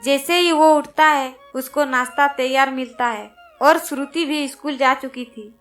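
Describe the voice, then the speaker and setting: High-pitched woman narrating a moral story. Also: measured pace, fairly bright tone, soft background music.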